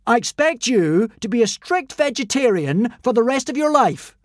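A man voicing a boy who poses as an ancient thunder god, booming solemn commands. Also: very animated, moderate pace, dry recording, studio quality.

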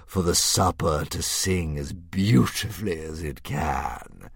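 A huge, vain male dragon, as a male reader puts him on, drawling every word with grand condescension. His low voice swoops widely as he muses, close and dry with no room around it. He sounds cheerfully menacing, savouring his own philosophy. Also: lively intonation, gravelly, slightly rough, dry recording, exaggerated voice. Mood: musing. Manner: slow, drawn-out, grandly condescending. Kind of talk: audiobook character voice.

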